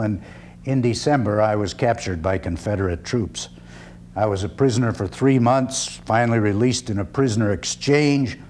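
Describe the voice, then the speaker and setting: A male actor performs a 19th-century orator and proud veteran in a one-man play. The man's voice sits at a medium pitch and swings widely for emphasis. He declaims in deliberate, measured phrases, with dry irony and a freethinker's conviction. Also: darkish tone, a little hiss or hum, lightly acted. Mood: reminiscing, proud. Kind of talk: dramatic reading, theatrical oratory.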